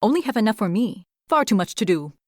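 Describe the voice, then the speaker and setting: A woman's voice: mid-range voice, very animated, fast, no echo, clean studio sound. A woman voicing a busy, frantic male squirrel who fires off clipped questions.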